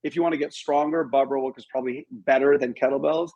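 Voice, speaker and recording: A man's voice: medium pitch, fairly even intonation, fast talker, a little mellow, highs cut off. A middle-aged man answering as an interview guest, explaining things conversationally and with emphasis. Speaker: interview guest, author and martial arts instructor.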